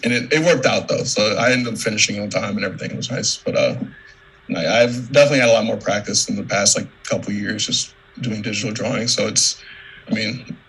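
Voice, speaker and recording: A young man, an artist, chatting casually in a halting, informal, modest way over a video call. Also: lowish voice, fairly bright tone, breathy edge, poor audio. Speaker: artist presenting work. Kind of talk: casual chat.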